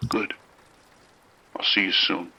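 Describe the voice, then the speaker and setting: An actor playing a cold, menacing crime boss in an audio drama, with a rough, husky voice and a measured, blunt, threatening manner. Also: male voice, pushed and bright. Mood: cold menace.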